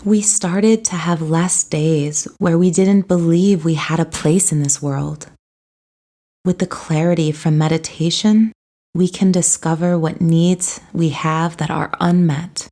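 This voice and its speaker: A woman narrating a scripted guided meditation in a soothing, gentle, unhurried way. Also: fairly low pitch, steady tone.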